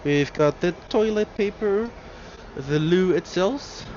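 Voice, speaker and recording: A man's voice: high voice, slow pace. A man giving a casual, rambling first-person travel review, thinking aloud inside an airliner cabin. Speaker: travel vlogger reviewing a flight. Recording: muffled with loud aircraft cabin noise.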